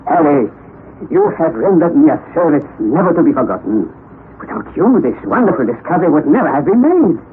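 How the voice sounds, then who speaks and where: An actor playing an excitable, eccentric older scientist uncle in a vintage radio drama, theatrical and declamatory. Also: male voice, a little high, a little fast. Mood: eager. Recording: tinny, noisy old radio recording.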